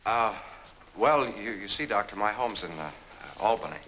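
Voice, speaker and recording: An actor in a 1940s film playing a polite, hesitant man seeking a doctor's help, speaking haltingly. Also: on the low side, animated, lo-fi sound, slight background noise. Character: polite, hesitant man posing as troubled patient. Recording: vintage film soundtrack, loud music behind.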